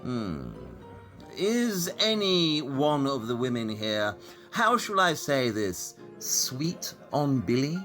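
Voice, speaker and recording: A man's voice: medium pitch, big pitch swings, takes it slowly, a bit bright, soft background music. An actor playing a brilliant, eccentric male detective who lectures in a precise, deductive manner. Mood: questioning.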